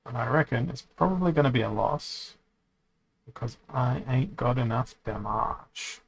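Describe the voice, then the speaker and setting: A man streaming a card game, thinking aloud as he plays, often correcting himself and pausing to hesitate. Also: mid-range voice, expressive, creaky, breathy edge, rough recording. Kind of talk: casual commentary while playing a card game.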